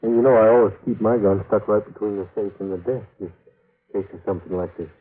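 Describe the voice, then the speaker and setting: A shaken, rustic western clerk in a radio drama, full of remorse. His low, husky voice comes haltingly over a narrow vintage broadcast recording. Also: steady tone. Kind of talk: old-time radio western acting.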